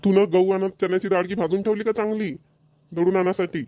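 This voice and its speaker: A man's voice: high voice, steady tone, quick pace, mellow, no room sound, close to an everyday voice. A man voicing a grumbling village father. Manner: rural Marathi dialect, grumbling, repetitive. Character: middle-aged village father, grumbling, scolding idle son. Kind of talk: cartoon voice acting.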